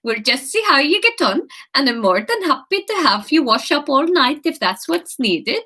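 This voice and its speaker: A woman voicing a confident female head chef with a put-on Swedish accent, brisk and matter-of-fact. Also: sits high, sing-song, pushed and bright, voice pushed up, heavily acted. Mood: reassuring.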